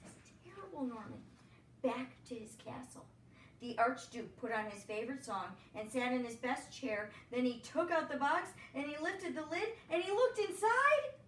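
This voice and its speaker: A woman's voice: sits high, expressive, normal speed, a bit bright, echoey room, far from the mic, slight background noise. A woman reading a children's picture book aloud expressively, with chatty asides. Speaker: storybook reader, teacher. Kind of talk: read-aloud children's story narration.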